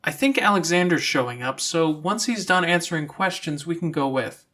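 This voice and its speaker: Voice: mid-range voice, moderate pace, a bit bright, pitched above the performer's own voice, natural voice. A narrator voicing a sensible, wry and caring teenage girl in an audiobook. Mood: practical.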